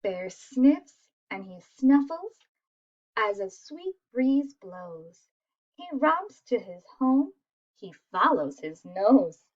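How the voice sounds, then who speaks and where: Female picture-book reader, unhurried and expressive, with a high voice, on a slightly lo-fi recording. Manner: expressive, asks listeners questions. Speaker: storyteller. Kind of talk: animated children's picture book read-aloud.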